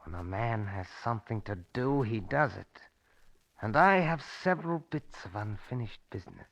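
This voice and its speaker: An actor playing a cold-blooded, deliberately threatening madman in a 1940s radio drama. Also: mid-range voice, animated, close to an everyday voice. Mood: menacing. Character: menacing, cold-blooded escaped madman with a knife. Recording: dull, band-limited 1940s radio recording.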